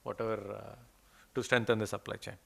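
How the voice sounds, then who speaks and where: A man's voice: on the low side, flat delivery, a little fast, vocal fry. A man with an Indian English accent presenting research slides at a conference, hesitant and full of fillers. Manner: hesitant, many fillers and restarts. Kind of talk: presenting research slides, then answering questions. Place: conference hall.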